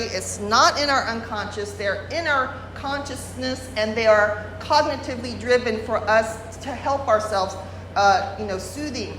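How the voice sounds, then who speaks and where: A woman leads a workshop, explaining carefully, pausing on fillers and restarting her sentences. Her voice sits a little high. She speaks without a microphone in a large room with a long echo. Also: fairly bright tone, a little hiss or hum. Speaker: workshop presenter. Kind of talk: workshop lecture. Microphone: no microphone, room recording. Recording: roomy hall, unamplified voice.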